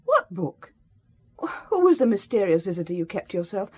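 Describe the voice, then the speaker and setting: A shrewd, devoted middle-aged secretary in a radio thriller. Her voice is husky and animated in pitch, with a formal, reproving manner. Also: moderate pace. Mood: suspicious, reproving. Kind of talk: radio drama acting.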